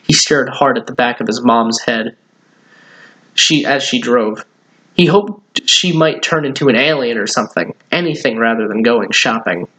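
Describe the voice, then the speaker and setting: A man's voice: mid-range voice, lively intonation, normal speed, pushed and bright, low-quality recording. Man reading a children's story aloud. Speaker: children's story reader, host.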